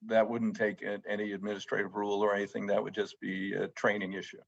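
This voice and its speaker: A man questioning witnesses at a formal hearing in a halting, informal way. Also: lowish voice, completely flat delivery, gravelly. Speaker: committee member questioning witnesses. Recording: dull, lo-fi meeting audio.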